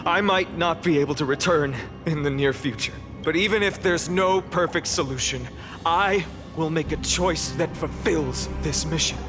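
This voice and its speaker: Guy as a noble young hero lord in a game, slightish high voice, takes his time, very earnest, music behind. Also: natural voice. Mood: resolute, reassuring. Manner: measured, formal, earnest. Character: earnest young heroic lord, grave and resolute. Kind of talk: English video game voice acting.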